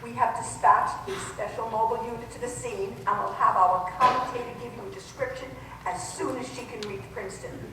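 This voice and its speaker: A woman's voice: on the high side, steady tone, a little fast, sharp tone. Woman acting a serious newsreader, very echoey. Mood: serious, urgent. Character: serious female radio newsreader giving urgent bulletins. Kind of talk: old-time radio news drama acting. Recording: echoey hall from far off, noisy.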